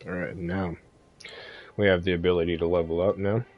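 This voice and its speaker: A man's voice: low-pitched, flat delivery, brisk, phone-quality sound, faint music behind. A man giving a casual, easygoing game walkthrough as a streamer. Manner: laid-back, easygoing. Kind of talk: casual game guide commentary.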